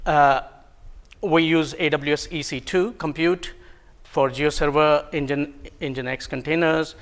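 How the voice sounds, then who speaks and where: A man whose first language is Hindi, giving a technical talk at a conference, halting with many ums and self-corrections and a bit of self-deprecating humor. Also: on the high side, fairly bright tone. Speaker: conference presenter. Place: conference room.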